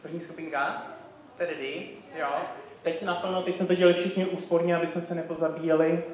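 A man's voice: fairly high pitch, little pitch movement, fast talker, darkish tone, slight background noise. A man who is a native Czech speaker leads a dance rehearsal with informal, encouraging instructions. His voice rings heavily around a big theatre hall, caught by a distant camera. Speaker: dance teacher. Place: large theatre stage, recorded by a far camera. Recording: very echoey theatre hall from far off.

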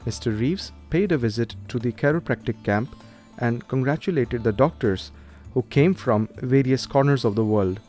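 A man's voice: medium pitch, moderate pace, few pauses, darkish tone, soft background music. A man working as a news reporter, presenting scripted reports and interview questions.

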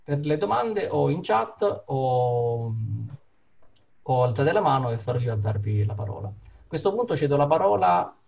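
Man, online meetup moderator, gravelly creak, dull call audio, informal. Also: mid-range voice, very animated, moderate pace, darkish tone. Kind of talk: informal online event introduction. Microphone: video call.

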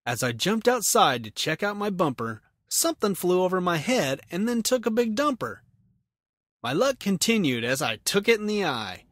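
Animated man hosting a podcast, dry close sound. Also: on the high side, no echo. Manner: jokey, self-deprecating. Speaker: podcast host. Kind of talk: casual solo podcast.

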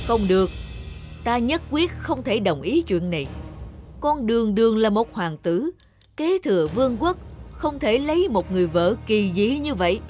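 A woman's voice: fairly high pitch, darkish tone. A woman voicing a stern, commanding middle-aged king in a cartoon fairy tale, with imperious declaiming. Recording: music under the voice.

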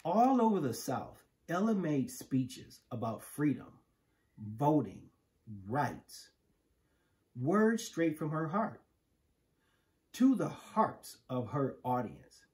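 Storybook reader: American man, middle-aged, slow and emphatic delivery, animated intonation. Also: a little high. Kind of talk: read-aloud of a children's picture book. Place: living room, indoors on a couch. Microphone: camera a few feet away.

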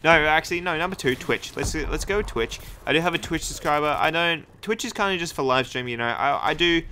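A man's voice: fairly high pitch, brisk, pushed and bright, slight background noise. A young man giving casual, hyped, rambling commentary over his own gameplay. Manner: hyped, rambling, self-deprecating. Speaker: gaming YouTuber. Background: game gunfire.

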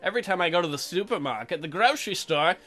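A man's voice: sits high, expressive, fast, sharp tone, faint music behind. A man hosting a let's play, commentating with crude, profane jokes and reading dialogue aloud. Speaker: gaming video host.

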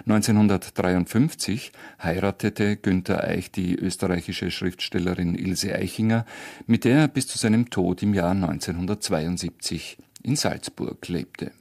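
Guy narrating as radio presenter, very low husky voice, reading a script. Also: measured pace, a little mellow.

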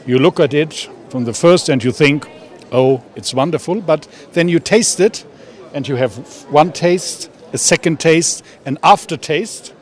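Man, fairly high voice, slow interview remarks, short phrases.

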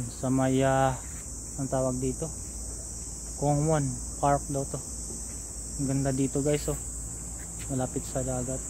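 A Filipino man in his thirties with a Filipino accent, strolling a seaside park path and pointing things out to his viewers. His delivery is slow and level, with little rise or fall in pitch. Insects and outdoor ambience are clearly heard behind his voice. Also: background noise. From Philippines. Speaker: vlogger. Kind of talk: casual walking tour vlog. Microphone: phone held at arm's length.

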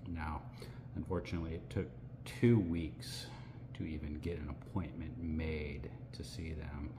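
Very deep, slow-talking man vlogging. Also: very noisy. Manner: relaxed, rambling, many fillers. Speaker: hiking vlogger. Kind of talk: casual vlog to camera. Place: outdoors by a lake, then at home indoors. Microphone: phone or camera at arm's length.

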